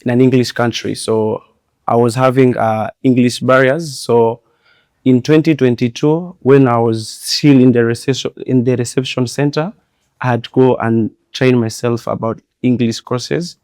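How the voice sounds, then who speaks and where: A man's voice: medium pitch, fairly even intonation, a little mellow, no echo, clean studio sound. Man. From Democratic Republic of Congo. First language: French. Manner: careful, deliberate English. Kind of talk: personal account in an interview.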